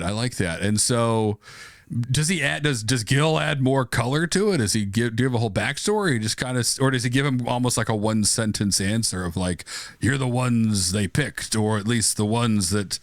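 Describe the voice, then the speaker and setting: A man's voice: lowish voice, normal speed, no echo. A man co-hosting a podcast, enthusiastically steering an improvised comic story with questions. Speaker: podcast co-host.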